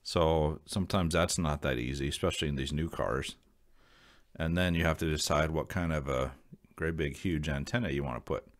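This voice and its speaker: Very deep-voiced American man, casual and folksy. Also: normal speed. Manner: rambling, folksy, plain-spoken. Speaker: video creator sharing radio hobby advice. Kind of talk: casual unscripted explainer to viewers.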